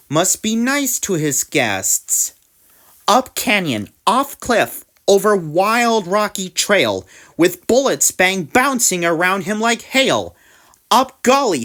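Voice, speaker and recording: Slow, expressive woman reading a storybook. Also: mid-range voice. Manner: dramatic, deliberate phrasing. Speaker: story reader. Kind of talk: expressive read-aloud storybook narration in rhyme.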